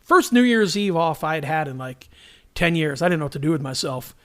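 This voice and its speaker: A man hosting a podcast, chatting casually in an enthusiastic, animated manner. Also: on the high side, a little fast. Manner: enthusiastic, animated, laughs readily. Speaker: podcast host, professional entertainer. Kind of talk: casual chat.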